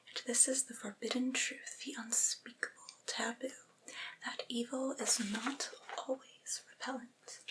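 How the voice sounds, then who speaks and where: A woman reading a literary essay aloud for ASMR in a breathy, unvoiced whisper, with hushed, careful phrasing. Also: a little high, low-quality recording.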